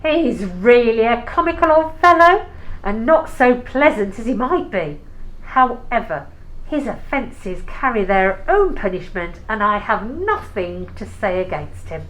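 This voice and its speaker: Jovial young nephew played by a woman, high, very lively voice, good-natured audio-play theatrics. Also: measured pace, rough recording. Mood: amused. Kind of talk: amateur audio play acting.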